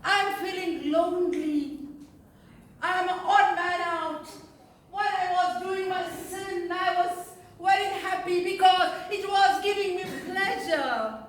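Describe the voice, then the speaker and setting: Woman playing outcast repentant female sinner, slow emotional stage delivery, pleading, distant and very echoey. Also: extremely high pitch. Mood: anguished. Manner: theatrical, emotional, emphatic pauses and rhetorical questions. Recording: echoey room, distant mic, faint noise.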